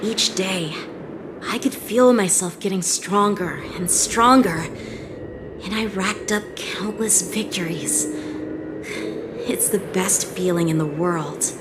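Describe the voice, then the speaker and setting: A woman's voice: lowish voice, background noise. A woman voicing an earnest, proud teenage boy swordsman in a video game cutscene. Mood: reflective.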